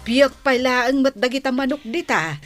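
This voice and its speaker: A woman's voice: high voice, moderate pace, faint music behind, natural voice. A woman acting as a concerned, nagging wife and mother in a radio comedy drama, with an Ilocano accent and a scolding, exclamatory delivery.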